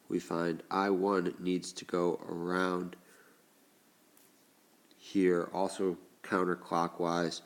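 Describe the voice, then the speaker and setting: Male teacher, very low voice, congested, stuffed-up nose, slow step-by-step explaining. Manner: slow, deliberate explaining while writing. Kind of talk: recorded classroom lesson.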